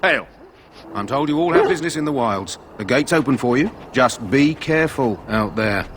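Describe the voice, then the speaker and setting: Male actor as game gate guard, matter-of-fact, brisk warning tone, medium pitch, noisy surroundings. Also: lively intonation, a bit bright, natural voice. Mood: cautionary. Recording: noisy outdoor game ambience.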